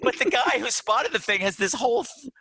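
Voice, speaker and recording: A middle-aged man hosting a casual movie chat show, playful and quick to laugh, with jokey asides. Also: very high voice, sing-song, vocal fry, pushed and bright, slight background noise. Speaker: podcast host.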